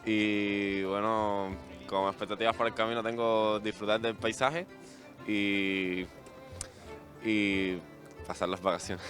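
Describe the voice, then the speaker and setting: A man's voice: fairly low pitch, flat delivery, slow pace, fairly bright tone, soft background music. A young man from Cuba with a Cuban accent, shy and hesitant.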